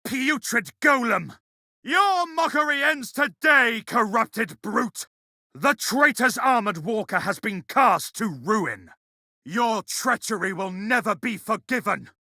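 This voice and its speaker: A furious warrior from a video game, hungry for vengeance. He orates loudly in a high, intensely forceful voice, slowly and with a dry sound. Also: animated, no echo, heavily acted. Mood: vengeful scorn. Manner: loud, forceful, oratorical. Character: furious, vengeful male space marine warrior. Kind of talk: video game battle barks.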